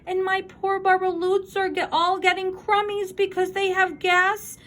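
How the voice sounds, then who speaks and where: A woman reading a storybook aloud, voicing a bossy, indignant little old male forest creature with a sharp, emphatic delivery. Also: extremely high pitch, little pitch movement, measured pace, voice pushed up. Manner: bossy, emphatic, pleading outbursts.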